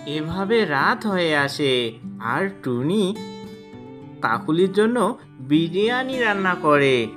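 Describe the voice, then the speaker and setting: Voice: mid-range voice, big pitch swings, takes it slowly, pauses often, fairly bright tone, faint music behind. A narrator reading a scripted children's story.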